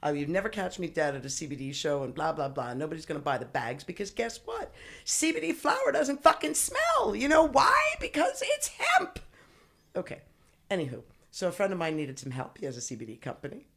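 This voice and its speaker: A woman's voice: sits low, fairly quick, vocal fry. A woman guest on a podcast, telling stories in casual chat with lots of swearing and laughter. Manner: profane, laughing, animated storyteller.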